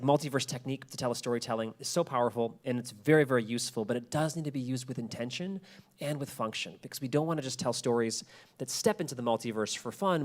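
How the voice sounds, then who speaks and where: A man delivers a prepared talk in a conversational, explanatory style. His voice sits at a medium pitch. He is earnest and emphatic, often repeating a phrase to stress his point. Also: a little mellow. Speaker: podcast creator giving a lecture.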